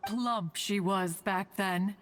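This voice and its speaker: A woman's voice: mid-range voice, takes it slowly, very noisy, lightly acted. A woman playing an ancient, proud female spirit in a video game, speaking in formal archaic English and calling herself "one". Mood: fond, teasing. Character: ancient proud adeptus spirit, fond teasing female elder. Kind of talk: scripted video game dialogue. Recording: music behind the voice.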